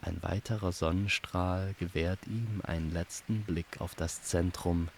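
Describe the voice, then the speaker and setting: A man narrating a scripted fantasy story in a measured, descriptive storytelling manner. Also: deep voice, steady tone, vocal fry, a little hiss or hum.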